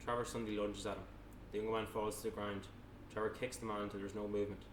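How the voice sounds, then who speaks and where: Man, scripted narration, matter-of-fact, monotone, distant mic, echoey noisy room. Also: fairly low pitch, very fast. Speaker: narrator reading stage directions at a table read. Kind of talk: scripted narration, read aloud from a screenplay. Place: indoor room, table read.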